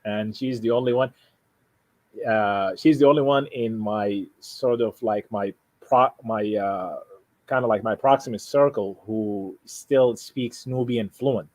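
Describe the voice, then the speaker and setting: A man from Sudan, a native Arabic speaker with a Sudanese Arabic accent, talking casually and haltingly as he explains himself, often repeating and rephrasing. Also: fairly low pitch, a little mellow, no room sound. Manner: halting, repeats and rephrases, frequent "you know". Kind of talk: casual conversation, explaining personal plans.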